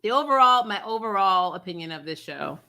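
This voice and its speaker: A woman's voice: medium pitch, lively intonation, fairly quick, vocal fry, bright, forceful tone, rough recording. A woman chatting casually as the host of a fan review show, sarcastic and incredulous, blunt with swearing and prone to laughing as she talks. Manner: laughs often while talking. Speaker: host of a TV superhero recap show.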